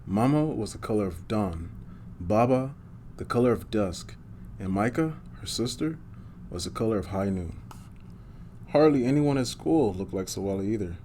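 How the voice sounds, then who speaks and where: A man's voice: lowish voice, animated, normal speed, warm tone, a little hiss or hum. A man reading a picture book aloud to children in a superhero persona, adding small asides as he goes.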